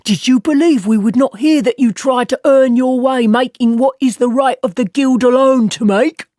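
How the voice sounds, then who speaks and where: A woman's voice: sits high, moderate pace, dark tone, dry recording, clean studio sound, pitched above the performer's own voice, lightly acted. A woman reading a story, voicing a big menacing male guildsman with a strange accent who mocks and threatens his rival. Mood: gloating. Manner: mocking, gloating.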